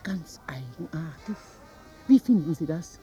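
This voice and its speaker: An actress playing a capricious, excitable, flirtatious high-society lady in a theatrical, coquettish 1950s German radio drama style. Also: unhurried, faint music behind. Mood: enraptured.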